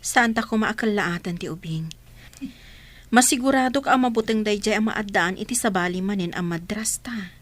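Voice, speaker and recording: An actress playing a kind, coy, motherly woman being courted in an Ilocano radio drama, gentle and teasing in manner. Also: mid-range voice, normal speed, lightly acted. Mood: cautious, sincere.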